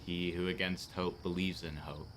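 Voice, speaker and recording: A man's voice: low-pitched, little pitch movement, normal speed, a bit bright. A man acting an earnest, lonely policeman in a radio play. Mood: probing, earnest. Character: earnest lonely policeman, grieving his dead fiancée.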